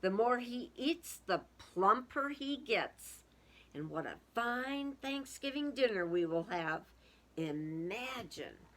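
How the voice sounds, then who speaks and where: A woman reader acts out an eager, gleeful storybook woman in a high, bright voice. She savors each phrase slowly, with swooping, exclamatory emphasis. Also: animated, heavily acted. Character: eager, gleeful woman fattening a turkey for dinner.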